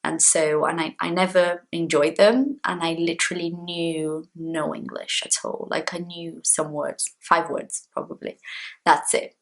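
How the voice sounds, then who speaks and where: A woman's voice: low voice, unhurried, creaky. Chatty young woman. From Brazil. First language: Portuguese. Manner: chatty, expressive, gestures with hands. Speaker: English teacher. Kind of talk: casual talk to camera. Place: small indoor room at home.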